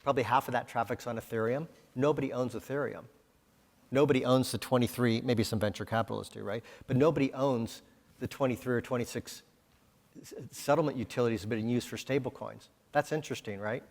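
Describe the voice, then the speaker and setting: A man's voice: medium pitch, quick pace, creaky. A man moderating a conference panel, talking conversationally and posing rhetorical questions. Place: conference hall. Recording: hall reverb.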